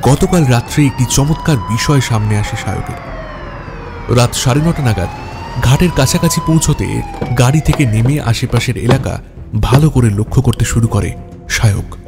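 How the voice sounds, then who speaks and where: Male narrator for suspense audio fiction, mid-range pitch, measured phrasing, light music bed. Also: lively intonation. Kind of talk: scripted detective story narration. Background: background music.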